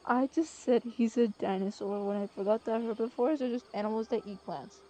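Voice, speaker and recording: A woman's voice: fairly high pitch, steady tone, a little fast, mellow. A young woman chatting casually with a friend while playing a video game. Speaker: gamer commentating with a friend. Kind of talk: casual gameplay chat. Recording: poor microphone, faint background noise.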